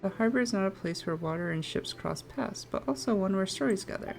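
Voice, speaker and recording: A woman's voice: mid-range voice, expressive, brisk, vocal fry, a little mellow, faint music behind. A woman with a playful manner, casually chatting and reading aloud as she plays a video game. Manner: giggly, playful. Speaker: game streamer.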